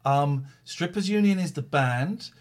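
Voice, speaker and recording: A man's voice: on the high side, animated, measured pace. A man hosting a casual online chat, talking in an effusive, chatty way with playful asides. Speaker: host.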